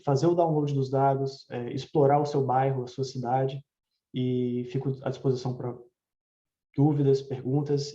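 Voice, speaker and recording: A man's voice: medium pitch, mellow. A man with a Brazilian Portuguese accent giving an explanatory presentation as a researcher, over a video call with dull, muffled sound. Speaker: researcher presenting at a round table.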